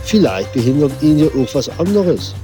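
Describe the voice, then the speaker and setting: Guy narrating an audio drama, tongue in cheek, lively intonation, faint music under. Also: fairly high pitch, normal speed.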